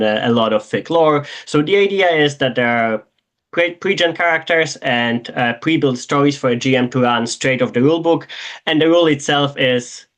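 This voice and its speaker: A man's voice: mid-range voice, a bit bright. A man, originally from Poland, introducing himself and his tabletop game as game master, with frequent restarts and fillers. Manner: halting, many restarts and fillers.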